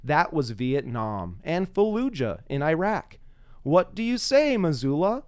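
A man's voice: fairly high pitch, big pitch swings, a little slow, darkish tone, smooth. A man hosting a podcast, chatting casually and thinking aloud, at times reciting simple rhyming verse. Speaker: podcast host.

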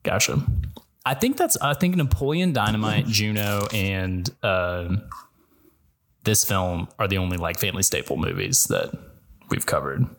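A young man co-hosting a podcast in casual chat, playful and quick to laugh, often restarting his sentences. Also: on the low side, very animated. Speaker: podcast co-host.

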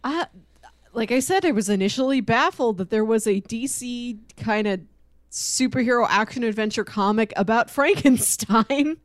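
A woman's voice: a little high, animated, normal speed, dry recording. Casual woman podcaster, close dry sound. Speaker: podcast co-host. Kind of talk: casual comic book discussion.

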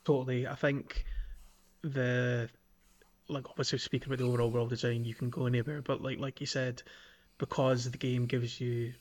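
A man's voice: mid-range voice, level tone, normal speed. A man co-hosting a podcast, chatting casually and with enthusiasm about a video game. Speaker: podcast co-host.

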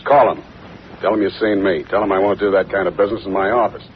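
An American actor playing a tough, wisecracking private detective, clipped and deadpan, in 1940s radio style. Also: male voice, low-pitched, fast, a little hiss or hum, natural voice. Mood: dry, businesslike. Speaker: lead actor and narrator. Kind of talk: 1940s radio detective acting, hard-boiled narration. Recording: muffled old radio broadcast, loud music.

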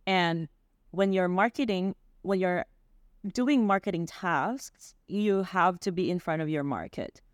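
A woman's voice: on the low side, measured pace, no room sound, clean studio sound. A woman business owner, a podcast guest, explaining energetically with examples and asking "right?" often. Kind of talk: interview answers.

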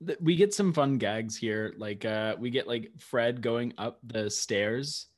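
A man's voice: medium pitch, measured pace. Chatty podcast co-host man. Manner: chatty storytelling, frequent "like". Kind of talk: casual chat.

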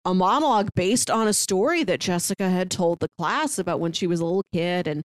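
A woman's voice: on the low side, fairly quick, dry recording, studio quality. A woman co-hosting a podcast, casually discussing films and acting out lines as she retells. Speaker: podcast co-host.

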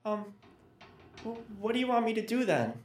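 A woman's voice: on the high side, lively intonation, moderate pace, darkish tone, lightly acted. A woman playing a timid but eager twelve-year-old boy in an audio drama, speaking hesitantly with frequent stammers. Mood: uncertain, nervous. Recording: roomy, echoing sound.

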